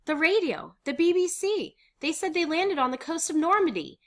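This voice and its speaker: A woman reader playing an excited, urgent woman bringing great news in a wartime stage play. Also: extremely high pitch, moderate pace, pitched above the performer's own voice. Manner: urgent, exclamatory.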